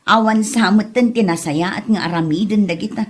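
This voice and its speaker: A woman's voice: mid-range voice, a little fast, close to an everyday voice. A woman playing an easygoing married vlogger in an Ilocano comedy audio drama, conversational and reassuring, with an Ilocano Filipino accent. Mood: unbothered.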